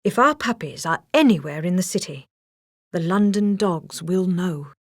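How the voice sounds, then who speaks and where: A woman's voice: on the low side, expressive, moderate pace, no echo, clean studio sound. A woman voicing an earnest, determined father dog in a storybook.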